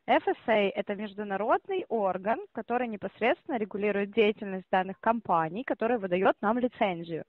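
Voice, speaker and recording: A woman's voice: mid-range voice, animated, a little fast, few pauses, no room sound. A woman working as a call-center operator on a phone call, explaining at length and insisting on her point. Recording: muffled phone line.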